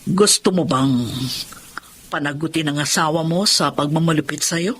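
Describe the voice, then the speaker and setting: A woman's voice: low voice, normal speed, breathy edge. A woman playing a kind, firm attorney and employer in a radio drama, reassuring and motherly.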